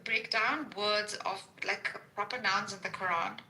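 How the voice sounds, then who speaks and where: Woman, probing questions, sarcastic, very bright tense voice, roomy echo, faint background noise. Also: medium pitch, steady tone, a little slow. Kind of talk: casual debate.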